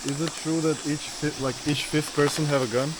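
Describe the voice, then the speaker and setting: A man's voice: on the high side, fairly even intonation, brisk. A man from Russia, a native Russian speaker, chatting casually in English outdoors. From Russia, Saint Petersburg. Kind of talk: casual chat. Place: outdoors, rural waterfall trail. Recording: noisy outdoors with insects.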